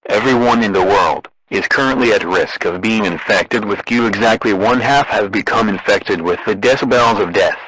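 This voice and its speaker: A man's voice: on the low side, a bit bright, no room sound, rough recording. A man delivering a scripted emergency broadcast as an announcer, in a formal, impersonal way.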